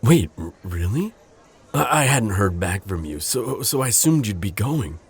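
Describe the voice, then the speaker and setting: Male actor as protective guy friend, deep husky voice, earnest, hesitant, stammering, naturalistic audio drama. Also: sing-song, moderate pace, exaggerated voice.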